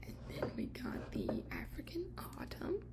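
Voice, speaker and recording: A woman speaking in a soft, breathy whisper, playing a shop clerk in an unscripted, gently hesitant ASMR roleplay. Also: a little slow.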